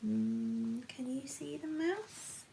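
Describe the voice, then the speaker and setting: A woman reading a picture book to children in an animated, playful way, with chatty asides and questions to her listeners. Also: sits high, drawn-out words, vocal fry, a little hiss or hum. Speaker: children's storybook reader.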